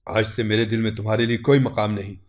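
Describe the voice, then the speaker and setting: A man voicing a stern older uncle, low-pitched. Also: level tone, close to an everyday voice. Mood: bitter disappointment. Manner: grave, reproachful, measured. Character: stern, disappointed older uncle rebuking his nephew.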